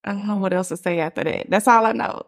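A woman's voice: medium pitch, fast, creaky. A woman, hosting a casual podcast chat, talking loosely with lots of fillers. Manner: chatty, lots of "like" fillers, laughs easily. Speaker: podcast host.

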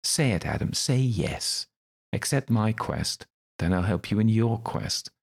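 A man's voice: lowish voice, lively intonation, unhurried, gravelly, husky, voice pushed up, heavily acted. A man voicing Crowley, a smug, theatrical schemer who talks in a mock-genteel, teasing way. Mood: wheedling.

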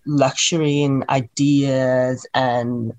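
A woman's voice: extremely low pitch, little pitch movement, very slow, a little mellow. A woman in her thirties from Hong Kong with a Hong Kong accent, a chatty podcast guest who talks with many fillers and restarts. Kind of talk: podcast interview.

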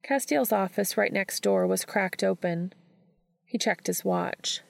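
A woman's voice: mid-range voice, a little slow, creaky, no room sound. A woman reading a scripted story aloud as an audiobook narrator. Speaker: audiobook reader.

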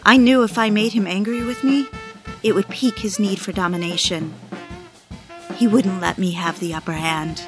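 Actress as a desperate, needy mistress, dramatic confessional delivery. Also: female voice, medium pitch, steady tone, normal speed, music in the background, natural voice. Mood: quiet confession. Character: desperate, obsessive mistress pleading for her lover's attention. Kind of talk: audio drama, confessional narration and dialogue.

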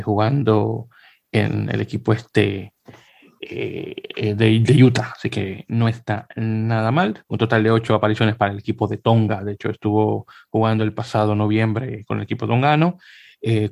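Gravelly low-voiced man, hesitant podcast host. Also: darkish tone, no echo. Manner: hesitant, many fillers and restarts. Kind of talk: casual sports news chat.

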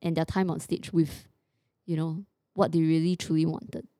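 A woman's voice: deep voice, level tone, moderate pace, warm tone, no echo, studio quality. A woman podcast host in casual, reflective conversation, often checking for agreement with a short tag question. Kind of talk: casual chat.

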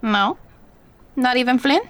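A woman's voice: high-pitched, measured pace, a bit bright. An actress playing a playful older woman who teases, in an audio drama. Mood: teasing. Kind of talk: naturalistic audio drama acting.

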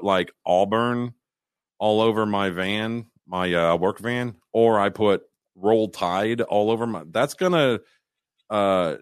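Deep-voiced man chatting casually. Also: clean tone, no echo, clean studio sound. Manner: rambling, many restarts and fillers. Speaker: podcast co-host. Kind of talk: casual chat.

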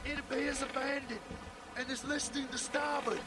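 An actor playing a dutiful, alert ship's officer giving urgent, formal reports in a seafaring audio drama. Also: male voice, extremely high pitch, little pitch movement, normal speed, heavy background noise.

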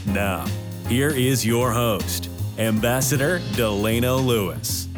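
Guy announcing a podcast intro, slow, guitar music loud behind. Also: medium pitch. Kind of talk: scripted announcement.

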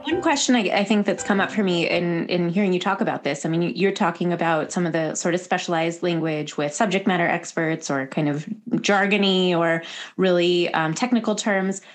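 A woman's voice: fairly low pitch, fairly quick, faint music behind. A woman hosting a podcast, asking long, meandering questions full of ums and restarts. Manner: rambling, restarts, many ums. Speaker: podcast host. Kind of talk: conversational interview questions.

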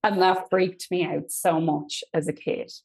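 A young Irish woman with a slightly low voice tells family tales in a relaxed, chatty way. Her volume swells and drops as she talks, and she laughs easily between thoughts. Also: a little slow, vocal fry, husky, poor audio. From Ireland. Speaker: guest. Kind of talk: casual storytelling conversation.

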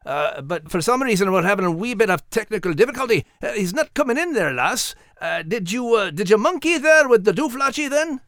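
A man voicing a brisk, grumpy male Scottish terrier who hosts a show, with a Scottish accent and scripted comic banter. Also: high voice, lively intonation, fairly bright tone, no room sound, clean studio sound. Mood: brisk, flustered. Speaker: host.

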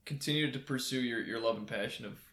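A man's voice: medium pitch, fast talker, a bit bright. A man from Michigan hosting a casual interview, friendly and affirming in a laid-back way. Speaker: podcast host.

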